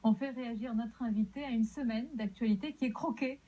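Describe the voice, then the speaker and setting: A woman's voice: a little high, a little fast, mellow, lo-fi sound. A woman hosting a TV interview, prompting her guest with leading questions. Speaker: TV host.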